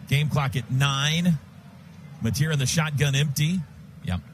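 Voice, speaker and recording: An American man does live football play-by-play from a radio booth. His voice sits fairly high, and he fires off short, urgent phrases in the present tense. There is clear noise all around him. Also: unhurried.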